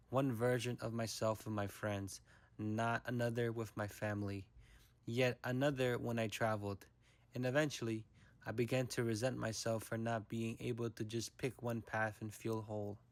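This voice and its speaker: A young man from the United States giving a reflective, measured narration for a personal documentary. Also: mid-range voice, no echo.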